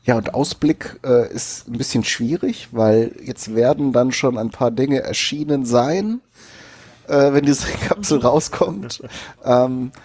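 A man's voice: mid-range voice, takes it slowly, a little mellow. A man co-hosting a casual group podcast, hesitantly searching for his words. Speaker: podcast co-host.

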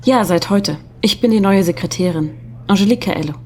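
A woman's voice: mid-range voice, fairly quick, a little mellow, a little hiss or hum, lightly acted. A woman acting a friendly, chatty young office secretary in an audio drama.

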